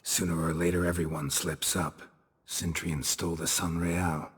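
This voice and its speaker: An actor playing a stoic, unflappable monster hunter in a video game, gravelly and laconic, with clipped deadpan lines. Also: male voice, low voice, normal speed, big-room sound. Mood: calm, deadpan. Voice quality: gravelly, raspy. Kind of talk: understated video game acting.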